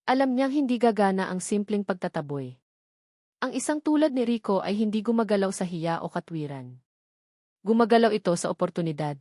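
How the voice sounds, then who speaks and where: A woman narrates a scripted drama as a storyteller. Her voice sits at a medium pitch, and the recording is very dry with no room sound. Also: animated, brisk, mellow, no echo.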